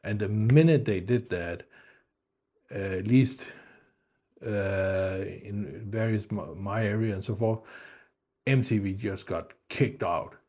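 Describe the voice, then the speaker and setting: A man's voice: on the low side, takes it slowly, mellow. A middle-aged man from Denmark, whose first language was German, chatting casually about his old albums, rambling with lots of fillers and restarts. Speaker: music video host.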